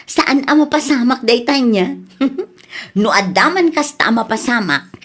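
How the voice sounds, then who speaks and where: Woman acting a cheerful, high-voiced Ilocano friend. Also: expressive, unhurried, fairly bright tone. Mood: cheerful, reassuring. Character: cheerful, generous, reassuring female friend and daughter. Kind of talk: comedy radio drama acting.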